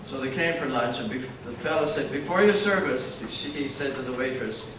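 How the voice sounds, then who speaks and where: A man's voice: mid-range voice, a little fast. A man emcees a conference, spinning a joke before introducing a speaker. His mid-range voice rings through a very echoey hall, picked up from far off over a noisy background. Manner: storytelling joker, delivers a punchline. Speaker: master of ceremonies.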